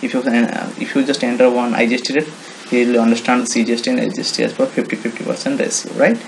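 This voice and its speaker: A man with an Indian accent presenting an informal software walkthrough in halting, repetitive, non-native English phrasing. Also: mid-range voice, little pitch movement, creaky. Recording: noisy home-made screen recording.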